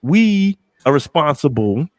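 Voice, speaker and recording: A middle-aged man from New York, talking casually on a podcast, animated and emphatic, repeating himself and swearing freely. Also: a little high, slow pace, no echo. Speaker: podcast co-host.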